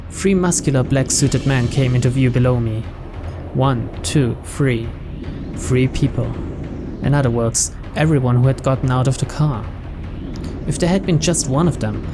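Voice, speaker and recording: A woman reading visual novel text aloud as dramatic narration for a gaming channel. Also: a bass voice. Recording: loud game music behind voice.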